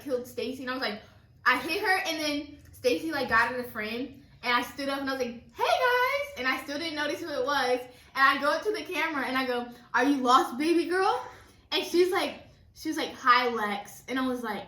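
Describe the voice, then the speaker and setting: A young woman vlogger chatting casually and retelling stories in an animated way, quoting who said what. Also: sits high. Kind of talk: casual storytelling chat during a mukbang. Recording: roomy echo, distant mic.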